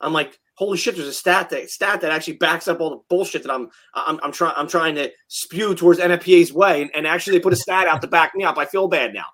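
A man's voice: a little high, quick pace, hardly any pauses, a bit bright. A man in his late thirties, a firefighter hosting a casual chat, talks bluntly and heatedly and swears often. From New Jersey. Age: 30s. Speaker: firefighter podcast host.

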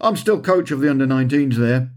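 A man's voice: mid-range voice, brisk, a little mellow, lightly acted. A man voicing a grumbling, combative amateur football coach with a moaning, pouting manner in an audiobook story. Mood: defensive.

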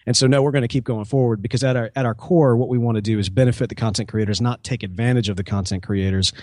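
A man's voice: mid-range voice, fairly even intonation, fast, a little mellow, dry recording, studio quality. A man speaking informally as an interview guest, a start-up co-founder in casual conversation who often acts out bits of dialogue. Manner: informal, talks in hypothetical quoted dialogue.

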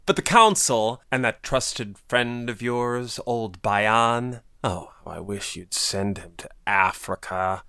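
A man playing a vain, cunning court official in an audio drama, self-assured and deliberate in his speech. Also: medium pitch, bright, forceful tone, smooth, dry recording, studio quality. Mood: falsely modest, sly. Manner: smug, deliberate.

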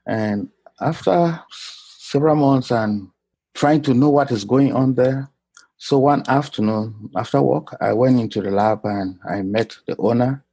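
A middle-aged man from Cameroon telling his life story in an interview, polite and unhurried. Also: mid-range voice, warm tone, husky, low-quality recording. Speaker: guest, clinical lab scientist. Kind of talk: casual interview.

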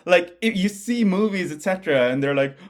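A man from Bangladesh, co-hosting a casual podcast chat about math in a musing way that often trails off quietly. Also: sits high, animated, a little fast. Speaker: podcast co-host, mathematician.

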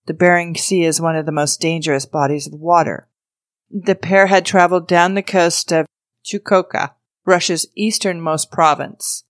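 A woman's voice: lowish voice, steady tone, normal speed, no room sound, clean studio sound. A woman podcast host reading a scripted introduction and news story aloud as narration.

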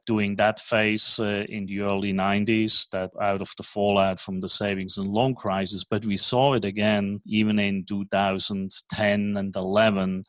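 A man in his fifties with a Swiss accent, giving measured, explanatory interview answers with frequent pauses. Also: fairly low pitch, a bit bright, no room sound. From Switzerland. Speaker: guest, finance executive.

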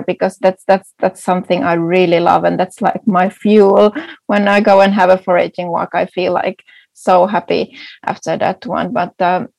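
A woman whose first language is Swedish talks eagerly in a relaxed interview, her voice carrying a gravelly edge. She restarts her sentences often. The sound is close and dry, with almost no room around it. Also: fairly low pitch, no room sound. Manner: enthusiastic, many restarts and fillers. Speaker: foraging guide and entrepreneur. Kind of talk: casual interview answers.

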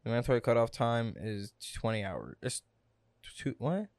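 A young man co-hosting a podcast in casual chat, with relaxed slangy talk from a small home studio. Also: medium pitch, normal speed, dry recording. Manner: laid-back slangy talk, drifts louder when excited. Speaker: podcast co-host.

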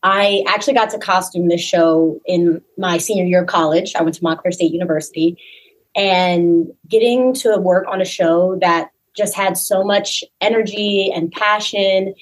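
A woman's voice: mid-range voice, poor audio. A young woman who acts and designs costumes in a community musical, introducing herself in a bubbly, giggly way. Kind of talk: interview guest.

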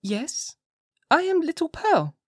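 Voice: very high voice, wildly swooping pitch, slow, no echo, clean studio sound, pitched above the performer's own voice, heavily acted. A narrator voicing an impish, willful little girl in an audiobook, with a childlike, insistent manner. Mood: playful teasing. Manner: bright, insistent, childlike exclaiming. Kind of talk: dramatized audiobook character voice.

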